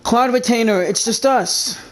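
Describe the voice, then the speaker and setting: A woman's voice: fairly high pitch, measured pace, crowd noise, lightly acted. A woman voicing a caring, curious young traveler in a video game, with a casual and earnest delivery. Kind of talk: English video game voice acting.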